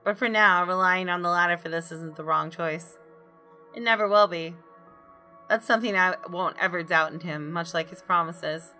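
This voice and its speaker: A woman's voice: lowish voice, normal speed, pushed and bright, faint music behind. A woman gamer reading visual novel narration aloud expressively, with her own asides. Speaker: gaming video host.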